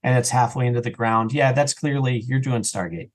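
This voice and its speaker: A man with a medium-pitched voice talks casually as a podcast guest. He speaks in a conversational, storytelling way and often voices little imagined remarks as he explains things. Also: brisk. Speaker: podcast guest, audio drama creator. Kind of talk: casual interview chat.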